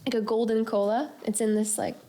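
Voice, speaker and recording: A woman's voice: fairly high pitch, little pitch movement, fairly quick, mellow. A woman co-hosting a podcast, chatting informally and enthusiastically with a guest. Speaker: podcast co-host. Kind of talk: casual interview chat.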